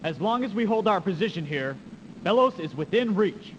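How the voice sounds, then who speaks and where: Male ruthless game commander, theatrical and emphatic, animated pitch, noisy reverberant old game audio. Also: moderate pace, muffled recording. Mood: commanding. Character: proud, ruthless male military commander, hungry for victory. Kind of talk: dramatic video game cutscene acting.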